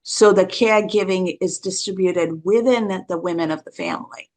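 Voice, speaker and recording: A woman from Sri Lanka hosts a podcast interview. She frames her questions in a warm, thoughtful way. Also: medium pitch. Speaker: podcast host. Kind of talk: conversational interview.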